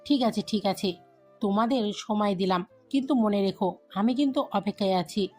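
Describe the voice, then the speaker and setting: Woman playing middle-aged mother, teasing, insistent, coaxing, audio story dialogue, medium pitch, music underneath. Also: normal speed, darkish tone, natural voice. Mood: playfully coaxing.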